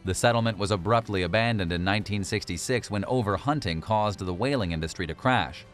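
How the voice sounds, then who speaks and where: A man's voice: on the low side, a little fast, soft background music. A man reading scripted documentary-style narration for a list video.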